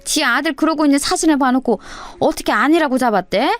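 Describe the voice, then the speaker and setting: A woman's voice: high-pitched, fast, no echo, faint music behind, voice pushed up, natural voice. A woman voicing an outraged older mother who rants scornfully and coarsely in an audio story. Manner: scornful, indignant ranting. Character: outraged, foul-mouthed older mother of divorcing daughter.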